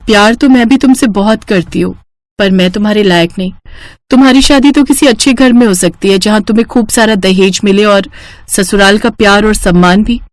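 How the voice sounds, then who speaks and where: A woman's voice: medium pitch, fairly quick, dry recording, lightly acted. A woman voicing a sweet, shy and anxious young woman in a family story. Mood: insecure.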